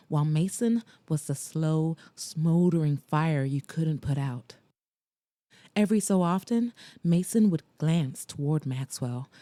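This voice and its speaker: A woman narrating a romance audiobook in first person, with a measured, intimate delivery on a close studio mic. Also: sits low, mellow, dry recording.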